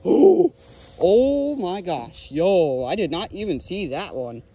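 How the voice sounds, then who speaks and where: Excitable young man outdoors on a dock. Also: high voice, slow pace, husky. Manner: excitable, exclaims over finds. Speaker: magnet fishing vlogger. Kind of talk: excited casual commentary. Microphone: handheld phone or body camera.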